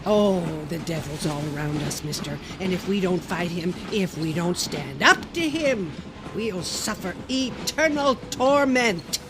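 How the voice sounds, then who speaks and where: An actress playing a pious, insistent older widow with a preachy, folksy manner in a radio play. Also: female voice, on the low side, lively intonation, a little slow, noisy background. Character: pious, preachy old widow pressing strangers in the subway. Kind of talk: radio drama acting.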